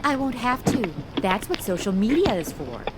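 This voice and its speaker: A woman's voice: on the high side, big pitch swings, normal speed, very noisy. A woman playing a brash, impulsive modern American sidekick in a comedy audio drama, slangy, blunt and sarcastic.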